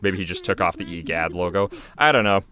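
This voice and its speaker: Low-voiced man giving casual commentary. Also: a little fast, pushed and bright. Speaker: animator and show creator.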